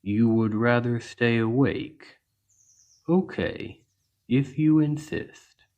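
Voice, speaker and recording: A man voicing a towering, gentle orc healer who speaks patiently and reassuringly in an ASMR roleplay. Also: mid-range voice, lo-fi sound, lightly acted. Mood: calm, caring. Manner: slow, gentle, reassuring, deliberate. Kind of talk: ASMR roleplay spoken to the listener.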